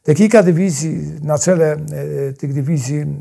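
A man tells history in a narrative, explanatory way. His voice is husky and soft-edged, set a little higher than most men's, and he repeats phrases for emphasis. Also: normal speed. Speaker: historian guest.

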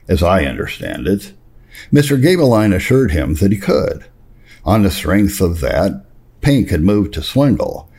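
A man with a very low, mellow voice reads a religious memoir aloud. His intonation swings widely as he tells it earnestly and emphatically. He pauses for effect and steps out of the text for brief explanatory asides. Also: slightly rough. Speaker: reader and narrator of religious history.

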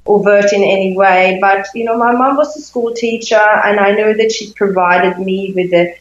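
A woman's voice: medium pitch, steady tone, normal speed. A woman in her fifties, originally from Sweden, speaks as an interview guest. She talks thoughtfully, searching for words with frequent fillers. The recording is roomy and somewhat muffled. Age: 50s. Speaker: intimacy coach, guest. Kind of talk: interview conversation. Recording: echoey, dull lo-fi sound.

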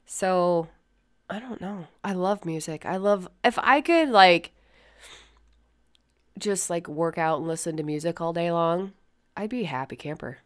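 Woman host with a low voice, scratchy and sniffly with a cold, candid, rambling, swears freely. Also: a little slow, pauses often. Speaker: podcast host. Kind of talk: casual solo podcast chat.

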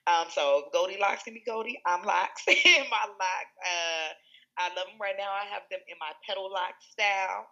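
Woman, Chicago accent, bright forceful voice, slightly dull lo-fi sound, animated storytelling, frequent laughter. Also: medium pitch, normal speed. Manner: animated, laughing often, many fillers and restarts. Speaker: school executive director. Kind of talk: conversational interview storytelling.

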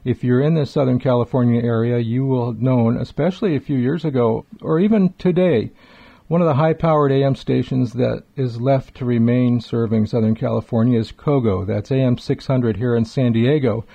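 A man's voice: medium pitch, fairly even intonation, moderate pace, creaky, mellow. A middle-aged or older man hosting a retro radio talk show, speaking in a chatty, wordy, enthusiastic way with emphatic repetition. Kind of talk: enthusiastic conversational show introduction. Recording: dull, lo-fi sound.

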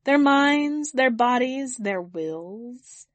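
A woman's voice: a little high, expressive, no echo, pitched above the performer's own voice. Woman voicing Astald, a formal owl-like alien. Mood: firm. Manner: formal, measured, unflinching. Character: towering owl-like alien envoy, calm and formal.

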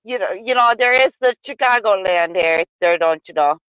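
A woman's voice: medium pitch, brisk, a bit bright, clear, resonant tone, no echo, highs cut off. A woman chatting casually with a friend, teasing, laughing a lot and cracking crude jokes, at times putting on a folksy Midwestern accent. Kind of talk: casual chat.

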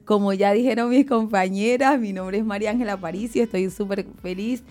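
Mellow woman chatting on radio. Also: medium pitch, fairly quick. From Venezuela. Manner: chatty, descriptive, addresses the audience. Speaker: radio co-host. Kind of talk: casual live radio chat.

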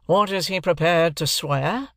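A man's voice: fairly high pitch, measured pace, a bit bright, no room sound, clean studio sound, voice pushed up. A man voicing a stern, domineering middle-aged lawyer in an audiobook, blustering and imperious. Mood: curt, dismissive.

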